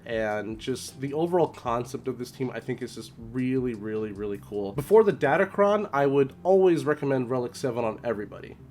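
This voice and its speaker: Slightly hoarse young man, chatty gamer. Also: medium pitch, a little hiss or hum. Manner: chatty, many ums and restarts. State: slightly hoarse, recovering from illness. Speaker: game guide creator. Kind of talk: casual game strategy walkthrough. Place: home room, indoors.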